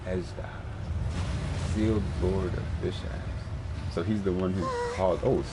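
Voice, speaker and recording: A man's voice: low-pitched, fairly even intonation, a little slow, very noisy. A man giving casual live game commentary as a streamer, with slangy, reactive asides. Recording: game music behind the voice. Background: video game music and sounds.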